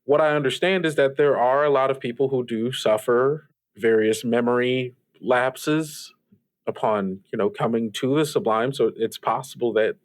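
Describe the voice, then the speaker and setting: A man acts out an easygoing host of a late call-in radio show. He speaks conversationally at a middle pitch, hesitating as he searches for words. He is supportive and reassuring with his callers. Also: normal speed, close to an everyday voice. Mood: gentle, reassuring. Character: easygoing, supportive male radio DJ in a strange world. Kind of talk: improvised radio show acting.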